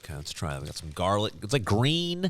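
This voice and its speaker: A man co-hosting a podcast, chatting casually and musing out loud as he tastes snacks, in an upbeat, chatty way. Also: fairly low pitch, huge pitch swings, fast talker. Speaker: podcast co-host. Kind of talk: casual snack-tasting chat.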